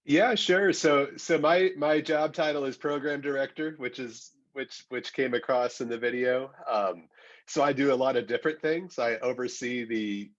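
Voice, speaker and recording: A man's voice: mid-range voice, normal speed. Man explaining things over dull audio. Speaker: radio station program director. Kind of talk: explanatory question-and-answer talk.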